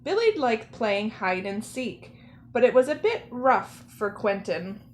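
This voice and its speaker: A woman reads a children's picture book aloud with expressive phrasing. Her voice sits a little high and she goes slowly, setting out each phrase with care for young listeners. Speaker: storyteller, zoo educator.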